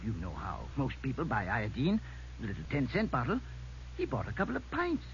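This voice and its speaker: Folksy, swooping little druggist. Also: male voice, mid-range voice, huge pitch swings, brisk. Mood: intrigued, eager. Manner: fussy, talkative, storytelling. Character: chatty, folksy little old-fashioned druggist. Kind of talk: 1940s radio acting. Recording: muffled, noisy vintage radio recording.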